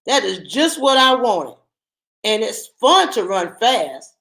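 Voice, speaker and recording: A woman voicing a cheeky male armadillo in a storybook, in a raised, excited voice. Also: on the high side, slow, gravelly, echoey room, exaggerated voice. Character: cheeky male armadillo who loves fresh water.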